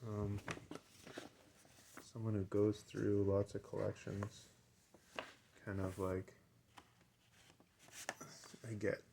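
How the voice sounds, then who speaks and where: A man's voice: on the low side, flat delivery, extremely slow, gravelly, long pauses, warm tone, a little hiss or hum. A man giving a casual, unscripted show-and-tell as a collector, hesitant and rambling, often trailing off mid-thought. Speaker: record collector and seller showing items.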